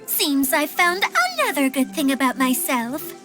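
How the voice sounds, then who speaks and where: A woman's voice: high voice, expressive, moderate pace, over music. A woman voicing a small, cheerful, carefree female helper in a game, breezy and pleased with herself. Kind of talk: animated game cutscene acting.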